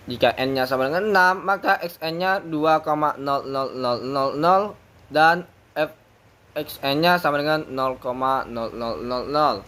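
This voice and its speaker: A man's voice: on the high side, fairly bright tone. Young man reciting numbers steadily. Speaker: student presenter. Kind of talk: reading out calculation results.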